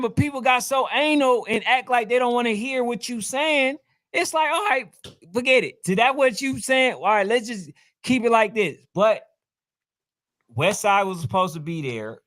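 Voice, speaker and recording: A man's voice: very high voice, animated, unhurried, long pauses, a bit bright. A man hosting a sports talk show, explaining himself in casual slang and repeating his points. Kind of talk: casual, heated sports chat.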